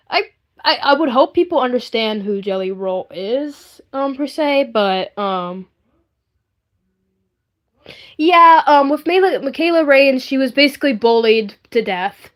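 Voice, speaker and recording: A young woman chatting casually on a live stream in a rambling, hesitant way, often repeating herself. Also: mid-range voice.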